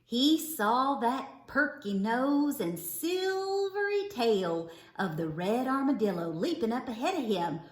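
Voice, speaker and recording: A woman reading a children's story aloud with theatrical, deliberate phrasing. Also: on the high side, sing-song. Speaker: storybook reader. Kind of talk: expressive children's read-aloud narration. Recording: roomy, echoing indoor sound.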